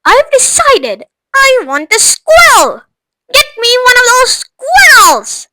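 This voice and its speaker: A woman voicing a spoiled, demanding rich little girl who shouts and whines. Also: very high-pitched, huge pitch swings, takes it slowly, no echo, pitched above the performer's own voice, full character voice, poor audio.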